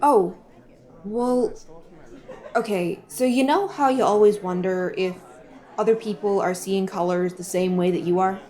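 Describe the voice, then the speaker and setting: A woman's voice: medium pitch, measured pace, dark tone, some chatter behind, natural voice. A woman playing a gushing, evasive recruiter for a secretive group in an audio drama, earnest and coy.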